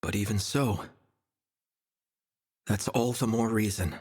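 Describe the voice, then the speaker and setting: A man's voice: lowish voice, slow pace, a little mellow, husky. A man playing a solemn, ominous figure in a game cutscene, speaking in a grave, portentous manner. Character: solemn, ominous man passing judgment on the world. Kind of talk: dramatic game cutscene dialogue.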